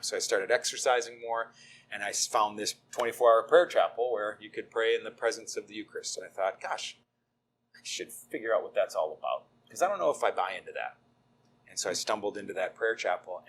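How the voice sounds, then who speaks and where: A man's voice: fairly low pitch, fairly bright tone, slight background noise. A middle-aged man, a deacon, telling his faith story in an easygoing, self-deprecating way with frequent ums. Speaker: deacon, guest. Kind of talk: conversational faith testimony.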